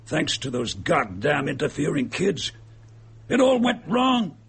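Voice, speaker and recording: A man acting in a radio drama as a gloating, bitter murderer and impostor, with a husky, gravelly voice and a sneering, darkly amused manner. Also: fairly high pitch, very animated, a little slow, fairly bright tone, exaggerated voice. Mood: gloating, then bitter.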